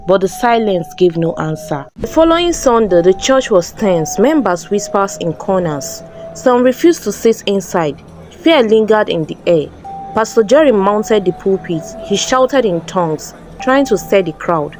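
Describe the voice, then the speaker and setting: A woman's voice: mid-range voice, very animated, measured pace, darkish tone. A woman storyteller giving a scripted, dramatic narration of a folktale. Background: background music.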